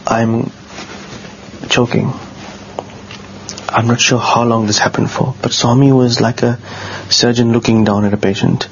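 A man with a bassy low voice, telling a personal story in a casual, earnest way, often halting and repeating words. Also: little pitch movement, creaky. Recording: dull lo-fi sound with faint noise.

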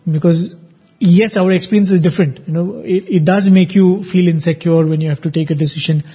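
A fairly high-voiced man with a husky edge hosts a devotional radio talk. He speaks earnestly and reflectively and draws his points out through stories. The sound is dull and lo-fi. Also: fairly even intonation, brisk. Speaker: radio show host.

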